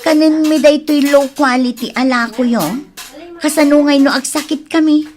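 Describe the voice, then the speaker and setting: A woman's voice: sits high, fairly even intonation, moderate pace, darkish tone, close to an everyday voice. A woman playing an indignant complaining daughter with an Ilocano accent in a radio comedy drama. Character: indignant adult daughter complaining about bad food.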